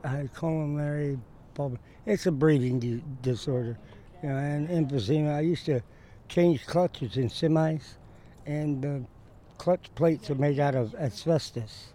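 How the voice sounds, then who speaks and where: Mellow, halting man from Kansas City. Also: fairly high pitch, slight background noise. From Kansas City, USA. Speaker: interviewee. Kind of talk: interview testimony.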